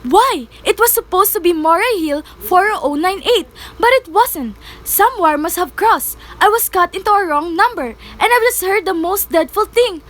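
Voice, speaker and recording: A woman's voice: very high-pitched, expressive, normal speed, few pauses, fairly bright tone. A teenage girl in an amateur radio play, playing an anxious, insistent, sickly woman alone at home. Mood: horrified, urgent. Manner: agitated, insistent, pleading. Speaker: student actor.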